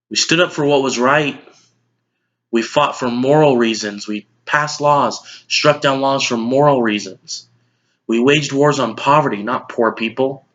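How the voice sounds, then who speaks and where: A man's voice: medium pitch, fairly bright tone. A man playing a blunt, exasperated middle-aged news anchor in an emphatic, lecturing dramatic monologue. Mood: solemn, wistful. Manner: emphatic, lecturing, list-driven delivery. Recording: dull lo-fi audio.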